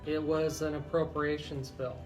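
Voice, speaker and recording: A man's voice: on the high side, little pitch movement, normal speed, soft background music, poor audio. An American man hosting live talk radio as an attorney, explaining things and addressing listeners directly. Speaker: radio host and estate planning attorney.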